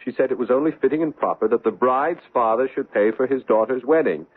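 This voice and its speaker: An actor playing an anguished young bridegroom in a 1970s radio drama, agitated and halting, with intense emphasis. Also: male voice, medium pitch, no room sound, close to an everyday voice. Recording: dry lo-fi old radio broadcast.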